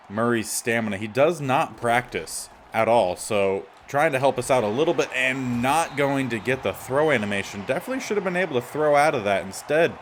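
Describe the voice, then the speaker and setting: Male gaming host, mid-pitched and slightly raspy, casual play-by-play commentary over faint background noise.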